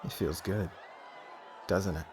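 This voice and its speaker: A man's voice: deep voice, steady tone, slow, a crowd in the background, background noise. A man playing a cocky, teasing gang leader in an ASMR roleplay, smug and fearless, with a flirtatious streak. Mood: mocking, taunting.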